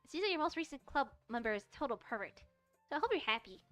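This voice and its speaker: A woman's voice: high-pitched, sing-song, fast talker, a bit bright, faint music behind, pitched above the performer's own voice. A woman voicing a small, hot-tempered, defensive anime schoolgirl for a visual novel, pouty and stammering. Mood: annoyed.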